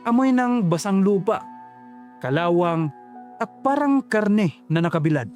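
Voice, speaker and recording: A man tells a first-person horror story in a slow, ominous, reflective voice, pitched a little high. Faint music runs under his narration. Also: expressive, a little mellow.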